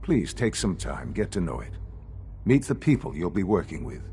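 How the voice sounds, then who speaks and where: An actor playing an elderly male leader of a secretive science institute in a video game, speaking in a measured, formal, gravely earnest way. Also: low voice, sing-song, warm tone, slight background noise. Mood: gracious, formal. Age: older. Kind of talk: video game dialogue acting.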